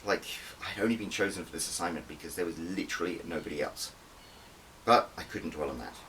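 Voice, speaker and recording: A man narrates a first-person novel in a deep register. His delivery is scripted, dramatic and emphatic. The recording is slightly distant, with a light hiss underneath. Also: a little fast, a bit bright. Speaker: author reading own audiobook.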